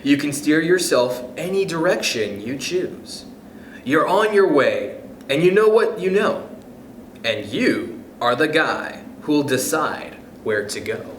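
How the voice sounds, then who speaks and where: A young man reads a children's picture book aloud in a slow, gentle way, his fairly high voice rising and falling in a lively sing-song. He chuckles softly and turns to the young listeners with friendly asides. The room is echoey, with a faint hum behind him. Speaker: youth club storyteller.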